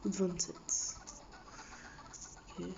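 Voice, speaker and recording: A woman's voice: very slow, slight background noise. A woman giving casual, rambling commentary on her own gameplay, often mumbling asides under her breath. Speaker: let's play gamer. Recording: dull lo-fi with loud game music. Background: video game music.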